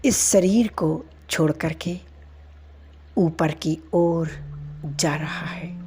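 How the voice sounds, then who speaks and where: Female meditation guide, calm and soft, very unhurried pacing over faint music. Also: lowish voice, breathy edge. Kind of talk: slow guided meditation commentary. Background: background music.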